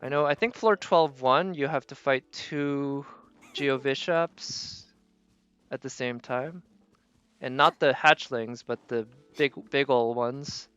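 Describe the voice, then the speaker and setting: A man's voice: medium pitch, slow pace, fairly bright tone, clean tone, highs cut off, faint music behind. A man doing casual live game commentary, thinking aloud and trailing off as he goes. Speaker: game streamer.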